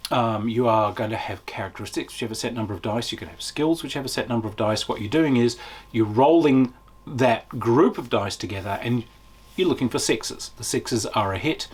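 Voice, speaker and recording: A man's voice: mid-range voice, fairly quick, slightly rough. A man giving a casual, unscripted review as a tabletop game reviewer, enthusiastic and emphatic, drawing out key words.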